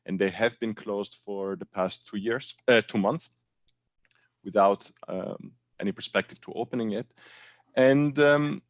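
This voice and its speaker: Low-voiced man, dry sound. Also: no room sound. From Italian-speaking Switzerland. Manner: earnest, halting with many fillers. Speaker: panel guest, brand co-founder. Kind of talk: online panel introduction.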